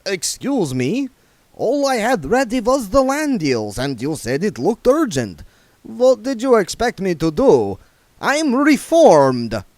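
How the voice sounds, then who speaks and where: A man's voice: sits high, wildly swooping pitch, slow, darkish tone, no room sound, pitched above the performer's own voice, exaggerated voice. A man voicing a fussy, reformed male vampire who speaks in a prim, indignant, overly formal way.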